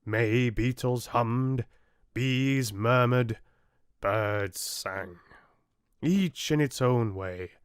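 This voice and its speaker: A man giving a measured, dramatic, expressive narration of a fairy tale audiobook. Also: mid-range voice, smooth, no echo, clean studio sound.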